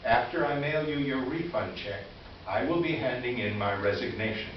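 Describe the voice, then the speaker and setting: A man playing an earnest, wronged store employee near retirement, reading a formal confessional letter in reader's theater with deliberate delivery. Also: mid-range voice, animated, lightly acted. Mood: earnest, aggrieved. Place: indoor live stage presentation. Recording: echoey room, distant mic, muffled, noisy.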